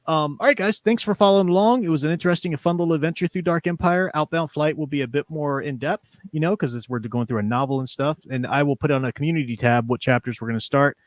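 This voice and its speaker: A man's voice: fairly high pitch, quick pace, hardly any pauses, no echo. A man hosting a casual livestream, chatting informally with his co-host and addressing viewers directly.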